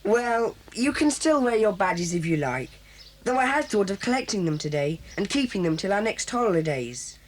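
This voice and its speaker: A woman voicing a bossy young schoolboy who leads a children's club in an 1980s audio drama, lively and brisk. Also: medium pitch. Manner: brisk, bossy, takes charge.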